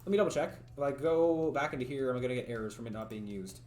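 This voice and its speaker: Rambling male streamer, slightly raspy. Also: mid-range voice, animated, fast, darkish tone. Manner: thinks aloud, rambling, chats with viewers, frequent laughs. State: voice getting raspy from long talking. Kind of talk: casual livestream coding commentary. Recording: roomy echo, faint music.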